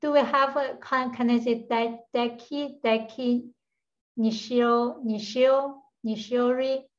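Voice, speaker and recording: A woman's voice: on the high side, fairly even intonation, takes it slowly, dull audio. A woman hosting an online student seminar, welcoming people with brief prompts. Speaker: seminar host. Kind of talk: moderating an online meeting.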